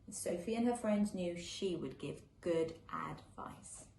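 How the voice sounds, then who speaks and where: A woman reading a children's picture book aloud live, with an expressive, sing-song storytelling delivery. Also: on the low side, measured pace, creaky. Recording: echoey room with faint background noise.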